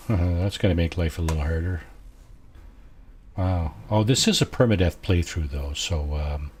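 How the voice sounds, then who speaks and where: Soft-spoken low-voiced man gaming. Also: slight background noise. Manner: quiet and unhurried, musing aloud with many fillers. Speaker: gameplay streamer. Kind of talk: casual let's-play commentary.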